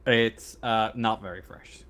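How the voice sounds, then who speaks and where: A man casually running a tabletop roleplaying game as game master, speaking in a halting, informal way. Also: on the low side, fairly even intonation, fairly bright tone. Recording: faint background noise.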